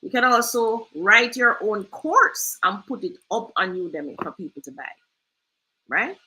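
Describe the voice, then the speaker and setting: A woman's voice: medium pitch, lively intonation, measured pace, pushed and bright, poor audio. A Jamaican woman hosting a casual screen-share tutorial, chatty and loose, often asking "right?". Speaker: host.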